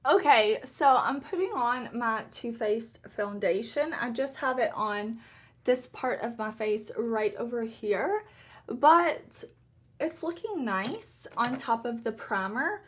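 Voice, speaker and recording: A woman, a little hoarse, chatting upbeat to viewers while doing her makeup. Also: fairly high pitch, animated, slow, a bit bright. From Southern United States. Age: 30s. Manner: chatty and upbeat, laughs often. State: slightly hoarse. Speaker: beauty vlogger. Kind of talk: casual get-ready-with-me makeup chat.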